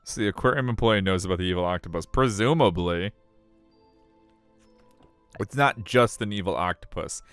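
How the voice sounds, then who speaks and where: A man's voice: low voice, normal speed, pauses often, a bit bright, clear, resonant tone, no echo, faint music behind. A man streaming a live read-aloud of a visual novel, mixing narration with casual chat.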